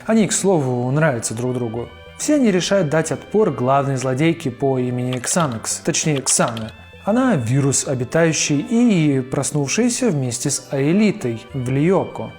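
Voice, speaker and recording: A man's voice: mid-range voice, lively intonation, normal speed, darkish tone, faint music behind. A man narrating a casual cartoon review in a chatty, slangy, opinionated way. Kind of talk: casual video-essay narration.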